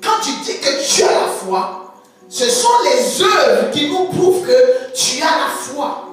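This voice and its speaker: A woman's voice: sits high, very animated, slow pace. A woman prophetess preaching a sermon, emphatic, repeating words and stretching key phrases. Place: church hall. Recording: echoey church hall, distant mic, faint music.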